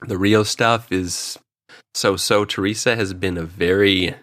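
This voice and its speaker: Man, podcast co-host, lowish voice, casual opinionated review chat, jokey, dry sound. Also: steady tone, measured pace, fairly bright tone, no room sound.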